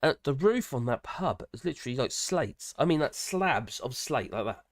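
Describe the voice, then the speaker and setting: An older man with grandchildren, hosting a casual live stream, hoarse from a cold and chatting in a rambling way with many fillers and restarts. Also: medium pitch, big pitch swings, normal speed. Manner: rambling, chatty, with frequent fillers and self-corrections.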